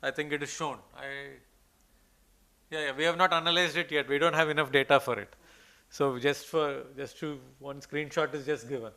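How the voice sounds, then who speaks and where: Gravelly Indian man presenting at a conference. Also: fairly high pitch, steady tone, moderate pace, sharp tone. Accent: Indian English. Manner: hesitant, many fillers and restarts. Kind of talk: presenting research slides, then answering questions. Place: conference hall.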